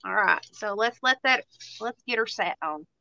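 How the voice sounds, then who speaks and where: A woman's voice: mid-range voice, expressive, normal speed, gravelly, bright, forceful tone, no room sound, lo-fi sound. A woman in her thirties with a Southern US accent, chatting and joking casually while hosting a craft livestream. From Tennessee, USA. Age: 30s. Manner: chatty, joking, self-mocking.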